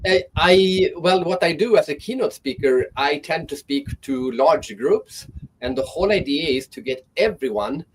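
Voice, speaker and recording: A man's voice: fairly high pitch, expressive, normal speed, slight background noise, rough recording. A man speaking as a guest on an online panel, talking thoughtfully and often restarting his sentences.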